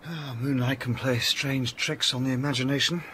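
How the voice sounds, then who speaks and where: An actor playing an edgy, hearty young gentleman in a radio drama, with hesitant, unsettled speech. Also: medium pitch, steady tone, moderate pace, a little hiss or hum, natural voice. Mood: uneasy.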